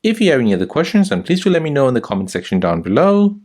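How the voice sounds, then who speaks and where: Man narrating a tutorial with lively intonation. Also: medium pitch, racing. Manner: instructional, step-by-step delivery. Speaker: tutorial host. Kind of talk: scripted how-to tutorial narration.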